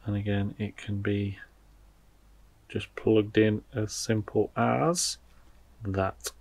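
A man with a low voice and plenty of gravelly creak talks through a product as he handles it. His delivery is informal and unscripted, like someone thinking aloud during a casual unboxing. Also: level tone, slow, darkish tone. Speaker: product reviewer.

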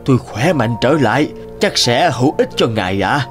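A man's voice: mid-range voice, very animated, normal speed, close to an everyday voice. An elderly crow played by a man speaks in a humble, hesitant way. He is a deferential, pleading schemer from a cartoon fairy tale, with light music underneath. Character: old male crow, humble, wily schemer.

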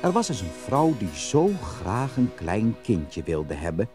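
A man narrating a fairytale as a storyteller in an expressive, scripted style. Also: on the low side, unhurried. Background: music.

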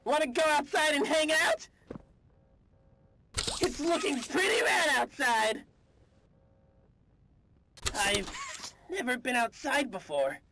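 A friendly cartoon chicken toy from a video game, childlike and slangy. The high voice leaps around at an unhurried pace, over loud noise. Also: big pitch swings, heavily acted. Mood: friendly, inviting. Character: cheerful but timid cartoon chicken toy, eager to hang out. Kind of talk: scripted video game character lines.

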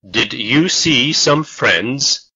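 A man reading slow model sentences for an English lesson, each word carefully spaced. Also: medium pitch, animated, pushed and bright, slightly rough, muffled recording. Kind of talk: slow scripted language drill.

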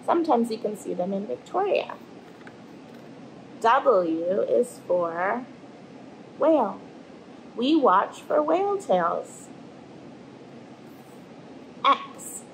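A woman, reading a picture book aloud to children in an animated storytelling manner. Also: medium pitch, gravelly, slight background noise, poor audio. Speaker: storybook reader and host. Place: indoors in front of an aquarium tank.

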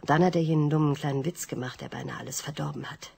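An actress playing a young heiress confessing her love, speaking softly and often whispering, in a radio drama. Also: deep voice, steady tone, quick pace, gravelly, slightly rough, exaggerated voice. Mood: tender. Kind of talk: 1980s radio drama acting.

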